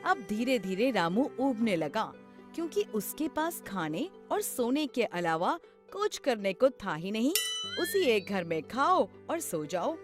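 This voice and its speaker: A woman's voice: fairly high pitch, sing-song, unhurried. A woman narrating a scripted children's story. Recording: music behind the voice. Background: background music.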